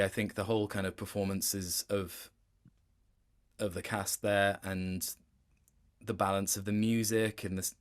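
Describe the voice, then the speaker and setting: A man with a fairly low-pitched voice speaks as a guest in a relaxed interview. He thinks aloud in a reflective, hesitant way, feeling his way through each thought. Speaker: guest, game voice actor. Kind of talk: casual interview.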